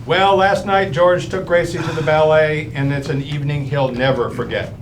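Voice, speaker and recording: A man's voice: on the high side, steady tone, normal speed, background noise, close to an everyday voice. A man playing a droll announcer reading a script for an old-time radio comedy show.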